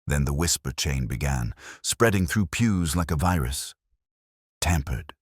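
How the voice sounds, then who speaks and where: A man narrating a scripted mystery story in a measured, dramatic and deliberate way. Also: extremely low pitch, expressive, breathy edge, dry recording, studio quality.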